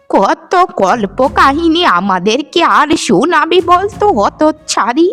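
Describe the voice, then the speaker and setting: A woman voicing a scheming middle-aged mother-in-law in a melodramatic cartoon, scolding and accusing with drawn-out sarcasm. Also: on the high side. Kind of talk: melodramatic moral-story cartoon acting.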